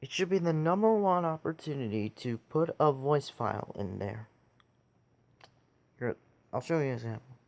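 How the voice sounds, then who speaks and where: A man giving a casual how-to tutorial in a halting way, pausing between short phrases. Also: medium pitch, lively intonation, creaky, darkish tone. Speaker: tutorial video creator.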